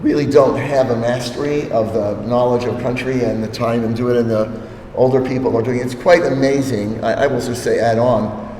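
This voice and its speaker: A man's voice: mid-range voice, fairly even intonation, moderate pace, dark tone. Hesitant older man explaining in an echoey hall. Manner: hesitant, many ums, thoughtful. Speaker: anthropologist moderating a gallery talk. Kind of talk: explanatory talk to an audience. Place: gallery hall. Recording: echoey gallery hall, faint noise.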